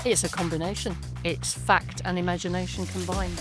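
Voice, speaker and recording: Soft-spoken older woman from Birmingham. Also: fairly low pitch, little pitch movement, normal speed, over music. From England, Birmingham. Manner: soft-spoken, thoughtful, with frequent ums and repeated words. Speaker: guest, audio drama writer and director. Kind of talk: casual interview chat.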